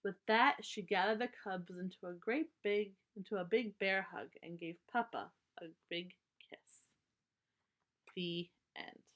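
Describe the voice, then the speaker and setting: Woman reading a children's story, slightly halting. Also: mid-range voice, fairly bright tone, lo-fi sound. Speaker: storybook reader. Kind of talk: children's story read-aloud.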